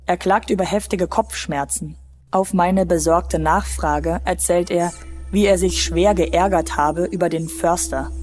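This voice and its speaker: A woman's voice: fairly low pitch, fairly even intonation, measured pace, a little mellow, faint music behind. An actress voicing an anxious, pious village wife who tells her part of the story in a radio play. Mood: worried narration. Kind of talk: radio play, first-person narration and dialogue.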